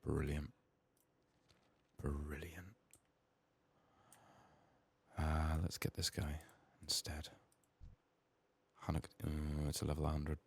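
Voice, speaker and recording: Very deep, hushed soft-spoken man. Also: little pitch movement, slow pace, vocal fry, long pauses, husky. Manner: hushed, often slipping into whispers. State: sick, strained voice. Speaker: video game streamer. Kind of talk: soft-spoken ASMR gameplay commentary.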